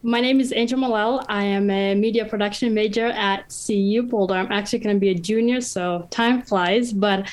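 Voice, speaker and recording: A woman's voice: medium pitch, steady tone, normal speed, hardly any pauses. Young woman from Tanzania sharing her story. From northern Tanzania. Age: about 20. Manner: earnest, open, with fillers and restarts. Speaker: interviewee, university student. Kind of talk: casual personal account.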